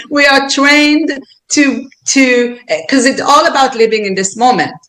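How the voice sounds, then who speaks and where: A woman's voice: high voice, slow pace, fairly bright tone, rough recording. A woman with an Israeli accent speaking as a podcast guest, earnest and reflective, often restarting and repeating words as she thinks aloud. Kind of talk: reflective interview talk.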